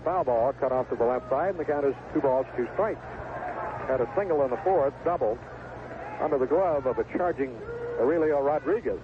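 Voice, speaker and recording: A man calling live baseball play-by-play on the radio in a folksy, colorful way, recorded at the ballpark. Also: mid-range voice. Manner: folksy, colorful turns of phrase. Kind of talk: live play-by-play sports commentary. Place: outdoor ballpark broadcast booth. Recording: tinny, noisy 1970s AM radio broadcast.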